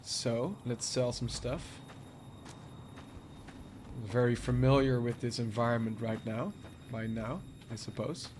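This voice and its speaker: A man's voice: medium pitch, steady tone, takes it slowly, darkish tone, a little hiss or hum. A man casually commenting on a game as he plays it, sniffling from a cold and musing to himself. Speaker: game streamer. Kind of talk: casual let's-play commentary.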